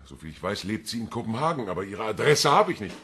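An actor playing a polite, evasive man under police questioning in a crime radio play, speaking in measured, defensive sentences. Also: on the low side, very animated, fairly bright tone, a little hiss or hum. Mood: guarded. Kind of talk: radio crime drama acting.

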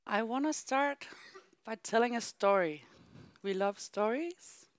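A woman's voice: medium pitch, no echo, studio quality. A woman giving a keynote talk on a theater stage, telling a story into a handheld microphone and acting out voices in quotes. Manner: animated, acts out voices in quotes. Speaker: keynote speaker.

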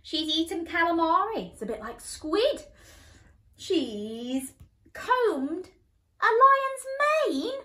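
A woman in her thirties reading a picture book aloud to young children at bedtime, animated and expressive, with playful asides to her listeners. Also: very high voice, slow pace, reverberant. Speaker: children's storyteller. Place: small bedroom, indoors, seated on a bed.

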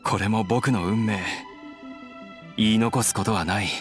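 A man voicing a gentle, reflective outlaw swordsman in anime game style, soft-spoken, resigned and wistful. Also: on the low side, steady tone, a little slow, over music, close to an everyday voice.

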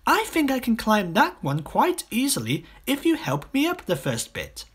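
Excitable storybook schoolkid, male reader, pitched well up, eager and exclaiming. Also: moderate pace, breathy edge, exaggerated voice. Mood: excited. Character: excited, eager young schoolchildren and small boy. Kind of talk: children's storybook character voice.

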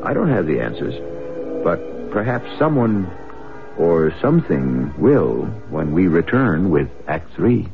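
A man's voice: sits low, big pitch swings, unhurried, creaky, over music, heavily acted. A man playing the wry, suspenseful host of a radio mystery, narrating theatrically over a tinny old broadcast. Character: wry, brooding male host of mystery tales.

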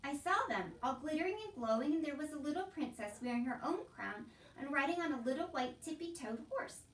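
A woman's voice: high voice, expressive, fairly quick, roomy sound, recorded from a distance, voice pushed up, poor audio. A woman voicing a naive seven-year-old peasant girl with simple, rustic speech, as in a storybook reading. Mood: excited.

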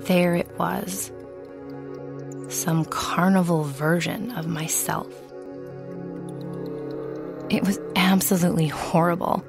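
A woman narrating a dark first-person story in a hushed, deliberate way with dramatic pauses. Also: lowish voice, gravelly.

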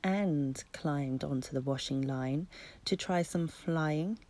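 A woman reading a children's picture book aloud in expressive, deliberate, dramatic phrases. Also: sits low, no room sound. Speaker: children's story reader. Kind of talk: expressive storybook narration.

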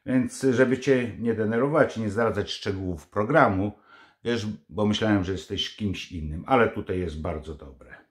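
A man's voice: on the low side, normal speed. An older man vlogging from his living room to a close camera, scolding and mocking in a sarcastic tone. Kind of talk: angry political commentary to camera.

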